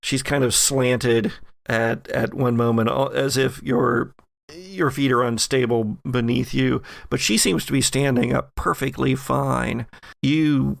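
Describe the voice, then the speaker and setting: A man runs a horror tabletop game with a strongly creaky voice. He narrates slowly and deliberately, feeling for his words, in a very dry recording. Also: mid-range voice, fairly even intonation, no echo. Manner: deliberate, halting, searching for words. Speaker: game master.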